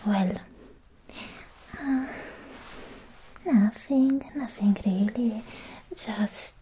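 A woman playing a shy, lovestruck young demon slayer in a whispered, halting ASMR roleplay, sweet and romantic, close on the microphone. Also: fairly high pitch, slight background noise, low-quality recording. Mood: shy, wistful. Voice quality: soft, breathy whisper. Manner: hesitant, bashful, halting with many pauses.

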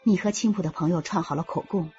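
A woman's voice: on the low side, fast, darkish tone, highs cut off, faint music behind, lightly acted. An actress playing a blunt, assertive woman in a radio drama, pointed and confronting, who sees through lies. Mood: knowing, pointed.

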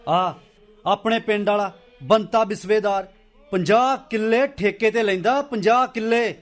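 A man's voice: high voice, measured pace. An actor playing a hesitant, questioning village man in a radio play, with a rustic Punjabi accent and cautious manner.

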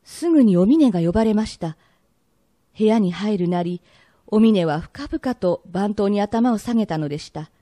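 A woman tells a period tale as its narrator. Her voice is mellow and mid-range, her phrasing polite, and the sound is very dry. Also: medium pitch, expressive, normal speed, no room sound.

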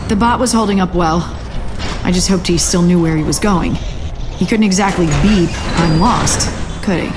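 An actress playing a wry soldier who recounts a mission as video game narration. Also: female voice, on the low side, moderate pace, background noise, natural voice. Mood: wry, concerned. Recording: music behind.

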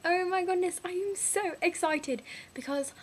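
A young woman putting on a British accent, gushing and rambling excitedly in a casual video diary. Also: extremely high pitch, moderate pace. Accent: put-on British. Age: young adult. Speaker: vlogger.

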